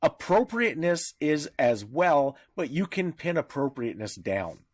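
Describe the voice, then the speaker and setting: A man's voice: on the high side, sing-song, unhurried, no room sound, clean studio sound. A man co-hosting a podcast in casual discussion, talking in a blunt, irreverent way. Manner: blunt, irreverent, freely profane. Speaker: podcast co-host.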